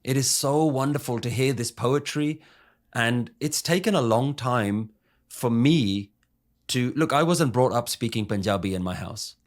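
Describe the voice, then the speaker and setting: A man's voice: medium pitch, unhurried. Earnest man giving a spiritual talk. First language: English. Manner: emphatic, asks rhetorical questions. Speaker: spiritual speaker.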